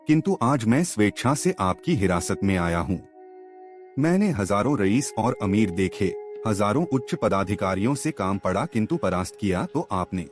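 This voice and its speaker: A man voicing a wealthy, courteous landowner who speaks formally and entreats earnestly in a story. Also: lowish voice. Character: wealthy, courteous, persuasive landowner pandit, sincere and humble. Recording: music under the voice.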